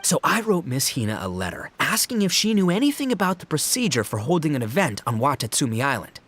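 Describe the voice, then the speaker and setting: An actor playing a brash, jovial, slow-witted young gang boss who talks in breezy slang in a video game. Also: male voice, on the high side, sing-song, a bit bright. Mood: explaining, dramatic. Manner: boisterous, slangy, dramatic. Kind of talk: video game cutscene acting.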